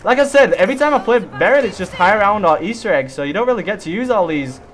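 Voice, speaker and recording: A young man hosting a casual co-op zombie game session, excitable and chatty, bursting into loud hype over his weapon. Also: sits high, quick pace. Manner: excitable, hypes gun, swears mildly. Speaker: gaming channel host. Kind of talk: casual co-op gameplay chat.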